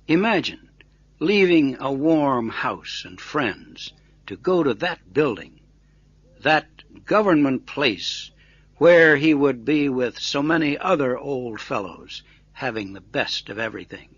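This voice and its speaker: Male narrator, animated pitch, unhurried deliberate storybook reading, dry slightly muffled recording. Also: slightly rough, dry recording. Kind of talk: scripted story narration for English learners.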